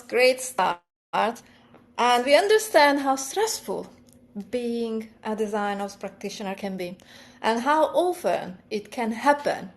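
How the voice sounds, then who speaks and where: A woman introduces a conference speaker at an unhurried pace. She pauses often with small fillers. Her voice sits slightly high. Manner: unhurried, friendly, with many fillers.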